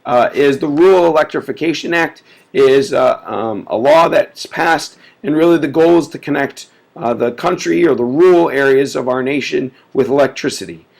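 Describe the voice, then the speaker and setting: Man lecturing on history, gravelly voice, sniffly, loose with ums and uhs. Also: on the high side, moderate pace. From United States. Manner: casual, many filler words. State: sick and sniffly. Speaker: teacher.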